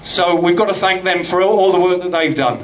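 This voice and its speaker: A man's voice: high-pitched, brisk, low-quality recording. A man with a Cockney accent giving an earnest, rambling thank-you speech at a community studio opening. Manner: rambling, earnest, self-deprecating. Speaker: project organiser giving a speech.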